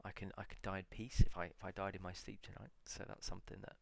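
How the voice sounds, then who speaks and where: Gravelly low-voiced man musing aloud. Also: little pitch movement, darkish tone, no echo. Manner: musing aloud, reading titles slowly with many pauses. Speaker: video blogger. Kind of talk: casual solo reflection.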